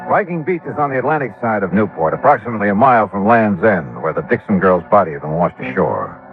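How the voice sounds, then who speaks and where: An actor playing a courteous hard-boiled insurance investigator, speaking in a measured, polite way in an old radio detective drama. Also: male voice, fairly low pitch, very animated, over music. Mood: narrating, suspenseful. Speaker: lead investigator and narrator. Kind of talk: 1950s radio detective acting. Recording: tinny vintage radio, band-limited.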